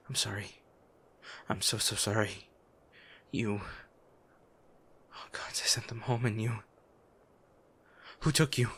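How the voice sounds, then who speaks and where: A man playing a noble lady's gruff, protective bodyguard, blunt and emotional, in a dramatic fantasy audio roleplay. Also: lowish voice, fairly bright tone, exaggerated voice. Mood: remorseful, pleading. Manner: exasperated, emotional, blunt, with sighs. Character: gruff, protective male bodyguard of a noble lady, scolding yet anxious.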